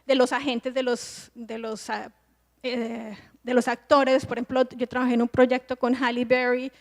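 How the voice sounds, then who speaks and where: A high-pitched woman in her forties speaks as a film director and screenwriting teacher on a festival panel. She explains step by step, often restarting mid-phrase as she thinks aloud. Her voice rings with the long reverb of a large hall. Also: flat delivery, moderate pace.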